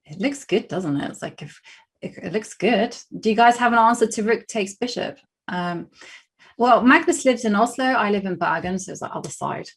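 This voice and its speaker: A woman's voice: medium pitch, lively intonation, moderate pace, breathy edge. A British woman in her thirties chatting casually while playing chess on a livestream, rambling with lots of fillers and restarts. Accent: British English. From Britain. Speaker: chess streamer.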